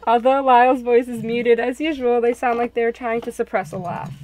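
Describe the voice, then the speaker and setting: A woman's voice: fairly high pitch, steady tone, moderate pace, darkish tone, dry recording, rough recording. A woman reading scripted first-person visual novel narration.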